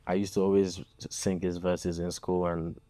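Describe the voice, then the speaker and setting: A man's voice: deep voice, level tone, normal speed, warm tone, no echo. A young man from Nigeria, a musician being interviewed, talking casually and hesitantly with many fillers. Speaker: guest musician.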